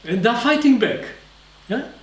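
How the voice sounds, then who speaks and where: This is a man lecturing a live audience in short, emphatic bursts. His voice sits quite high for a man. The sound comes from some distance in a roomy, echoing hall. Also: lively intonation, unhurried. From Israel. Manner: emphatic, speaks in short phrases, acts out voices. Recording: echoey hall, voice from far off.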